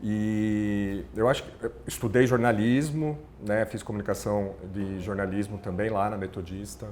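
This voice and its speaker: Low-voiced paulista man, rambling chat. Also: steady tone, normal speed, darkish tone, a little hiss or hum. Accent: São Paulo (ABC paulista) Brazilian Portuguese. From São Paulo ABC region, Brazil. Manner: rambling, self-correcting, frequent "né?". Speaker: podcast guest, communications manager. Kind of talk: casual interview chat.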